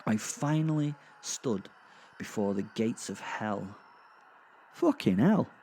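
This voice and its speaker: A man playing a gleeful, foul-mouthed werewolf who narrates a dark comic horror audio drama in a rambling, conversational, sardonic way. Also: on the low side, animated, takes it slowly, a little hiss or hum. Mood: sardonic, exasperated. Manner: rambling, sardonic, sweary asides with sighs and chuckles. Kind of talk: first-person storytelling, conversational dark comedy.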